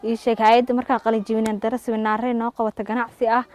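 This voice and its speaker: Female interviewee, fairly high voice, rapid and rather even delivery. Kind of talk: interview testimonial.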